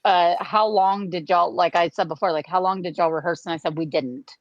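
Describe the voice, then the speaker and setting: A woman's voice: lowish voice, fairly even intonation, a little fast, no echo. A woman chatting casually as a radio play performer, effusive and emphatic in how she talks. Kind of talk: casual chat. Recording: slightly dull, lo-fi audio.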